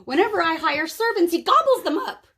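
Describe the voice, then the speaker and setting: A woman's voice: animated, a little fast, full character voice. A woman voicing a huge, frantic, overworked giantess in a children's storybook, exclaiming dramatically. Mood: frantic, complaining. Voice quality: loud, high-pitched roaring.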